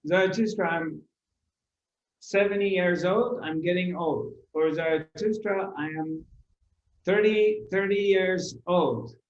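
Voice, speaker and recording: A man giving a spiritual discourse to a live audience, unhurried, repeating his phrases and asking rhetorical questions. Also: sits high, a little hiss or hum. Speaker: spiritual teacher. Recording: roomy, dull lo-fi recording.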